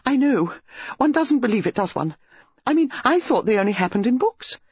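A reader voicing Mrs Bantry, a breathless, excitable English country lady who gushes about a body found in her library. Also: medium pitch, moderate pace, breathy edge, no echo, lo-fi sound, voice pushed up. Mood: excited. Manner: gushing, breathless.

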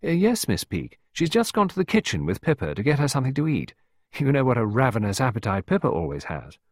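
A man's voice: mid-range voice, wildly swooping pitch, quick pace, darkish tone, no echo, clean studio sound, voice pushed up. A man voicing a polite, earnest male suitor in an audiobook, rueful and lightly ironic. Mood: casual, polite. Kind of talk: audiobook character voice.

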